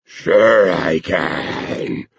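A man plays an obedient male dog who talks in a storybook tale. The husky, creaky voice whines and growls, on a slightly dull recording. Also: low voice, extremely slow, no echo, full character voice. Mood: eager, whining. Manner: whining, growling.

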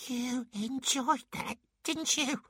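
High, sharp, snide little pixie. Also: male voice, sing-song, moderate pace, no echo, studio quality, heavily acted. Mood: resentful, sarcastic. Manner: snide, needling. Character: small sarcastic male pixie smuggler.

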